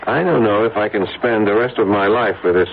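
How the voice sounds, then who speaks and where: A man's voice: lowish voice, a little fast, close to an everyday voice. An actor playing an anxious, brooding businessman in a radio drama, speaking earnestly and hesitantly about his uneasy conscience. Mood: anguished, restless. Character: guilt-ridden, anxious businessman confessing a troubled conscience. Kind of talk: old-time radio drama acting. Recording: narrow, tinny radio recording, faint noise.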